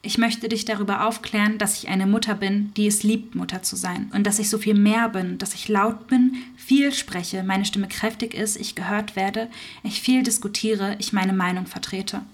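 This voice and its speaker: A woman's voice: medium pitch, fairly even intonation, moderate pace, reverberant, lightly acted. A woman voicing an outspoken young mother recounting her birth, in a theater radio play monologue. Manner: forceful, outspoken.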